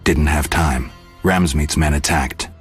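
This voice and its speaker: A man playing a gruff, terse monster hunter in a video game, with dry, laconic delivery. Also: very deep voice, a little slow. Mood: matter-of-fact. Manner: deadpan, laconic. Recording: music behind.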